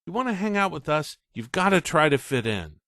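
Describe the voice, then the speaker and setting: A man's voice: fairly high pitch, very animated, a little fast, bright, forceful tone, no room sound, pitched above the performer's own voice, heavily acted. A protective twelve-year-old big brother who talks his little brother round, as a man puts him on. The voice sits well above a man's usual range and moves a lot. The recording is close and dry. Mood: coaxing. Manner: coaxing, earnest.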